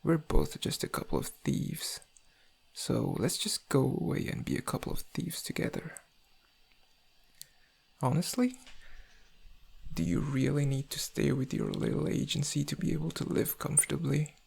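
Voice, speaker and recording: Smug, seductive male criminal played by a man for ASMR roleplay; husky, slightly higher-set voice, intimate drawl with menace underneath. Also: very animated, normal speed, pauses often, a little mellow, exaggerated voice. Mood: coaxing. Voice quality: gravelly, husky. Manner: intimate, teasing, drawling, often dropping to breathy murmurs. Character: suave, menacing male thief, flirtatious and smug.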